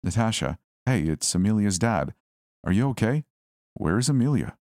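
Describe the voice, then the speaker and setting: A man's voice: on the low side, very animated, normal speed, darkish tone, no room sound, clean studio sound, voice pushed up. A man voicing a frightened, worried father calling out urgently in a horror story. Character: frightened, worried father searching for his daughter.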